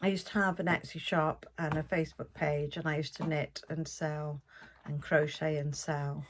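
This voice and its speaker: A woman's voice: low-pitched, little pitch movement, normal speed, slight background noise. Chatty older South Welsh woman. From South Wales. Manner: chatty, meandering, homely. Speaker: podcast host. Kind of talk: casual podcast chat.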